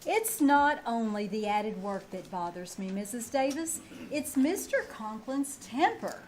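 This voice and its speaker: A woman's voice: fairly high pitch, big pitch swings, a little slow, a little mellow. A woman playing a put-upon English teacher with dry wit in an old-time radio comedy on stage. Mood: exasperated. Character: wry, put-upon female high school English teacher. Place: theater stage. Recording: live stage recording, some background noise.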